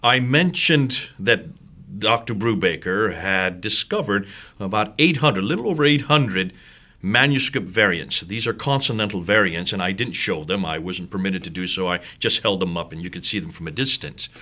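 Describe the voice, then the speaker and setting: Emphatic man with a bright, forceful voice. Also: lowish voice, normal speed. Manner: energetic, emphatic. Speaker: guest. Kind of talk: studio discussion. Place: studio.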